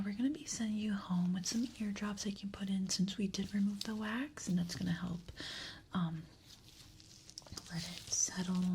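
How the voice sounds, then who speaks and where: A woman whispering gently and reassuringly in a breathy voice, playing a doctor who guides the listener through an ASMR exam. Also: mid-range voice, a little hiss or hum.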